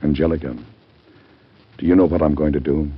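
An actor in an old-time radio drama playing a middle-aged, devout American president with a husky voice and a grave, stately, oratorical manner. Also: male voice, extremely low pitch, steady tone, brisk, a little hiss or hum. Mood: anguished, weary. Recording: dull vintage radio drama recording.

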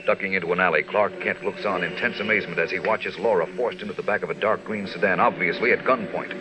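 A man's voice: sits low, quick pace. A man narrating an old radio serial in a formal, declamatory, suspenseful style. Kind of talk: dramatic 1940s radio narration. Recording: vintage radio recording. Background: loud organ music.

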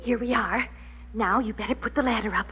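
Woman acting anxious high-voiced radio wife. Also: brisk, over loud music, lightly acted. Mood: sensible, cautioning. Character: anxious, sensible wife and mother, gently correcting. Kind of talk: 1940s-50s radio comedy acting. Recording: muffled old radio recording.

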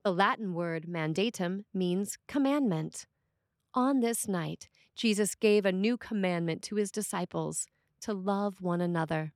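A woman priest reading a scripted sermon with measured, deliberate phrasing. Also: mid-range voice, dry recording, studio quality.

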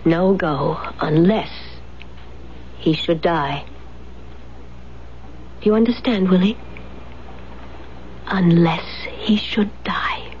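An actress playing a cold, imperious, money-hungry wife in a 1970s radio drama, haughty and deliberate in manner. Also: female voice, on the low side. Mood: cool, calculating. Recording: noisy, dull 1970s radio broadcast.

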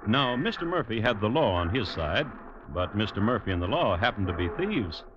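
An American actor playing the wry storyteller of a western radio drama, dry and deliberate with pauses. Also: male voice, on the low side, very animated. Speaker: narrator. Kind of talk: 1950s radio scripted narration. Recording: noisy, muffled old radio recording.

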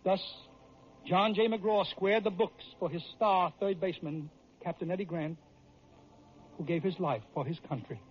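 Dramatic high-voiced American radio storyteller, man. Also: normal speed, husky, soft background music. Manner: emphatic, theatrical delivery. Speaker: radio storyteller and sports host. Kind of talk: dramatic scripted narration, old-time radio. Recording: dull vintage radio recording.

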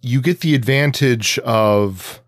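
A man's voice: medium pitch, slow, dry recording, studio quality. A man co-hosting a podcast in casual analytical discussion, thinking aloud and rephrasing as he goes. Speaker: podcast co-host.

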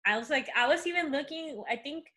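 A woman's voice: sits high, fairly quick. A woman with a Spanish accent, a native Spanish speaker, chatting as a podcast guest and telling stories with many fillers. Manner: animated storytelling, many fillers. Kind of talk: casual conversation.

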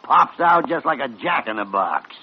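An older man acting a crusty, teasing senior physician in an old-time radio drama, gruff, blustery and drawling. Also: mid-range voice, very animated, normal speed, close to an everyday voice. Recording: muffled, noisy vintage radio recording.

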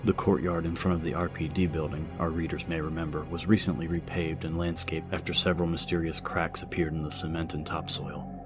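A man narrating an audio story, reading out newspaper reports in a measured, scripted news style. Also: very deep voice, level tone. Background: music.

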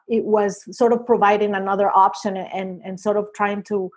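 A woman's voice: mid-range voice, darkish tone. A middle-aged woman from Venezuela with a Venezuelan Spanish accent, a psychologist answering interview questions thoughtfully, with many fillers and restarts. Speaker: psychologist guest.

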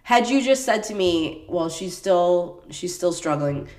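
Stuffed-up woman with a low, deep voice. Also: unhurried, echoey room. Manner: chatty, animated storyteller. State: stuffed up, voice deeper after illness. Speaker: podcast co-host. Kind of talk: casual chat.

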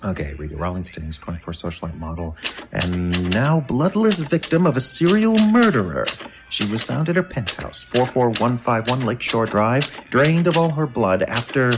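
A man playing a rumpled, wisecracking male tabloid reporter who chases monster stories, theatrical and pleading, with a gravelly voice, in an old-time radio drama. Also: mid-range voice, animated, normal speed, noisy background, lightly acted.

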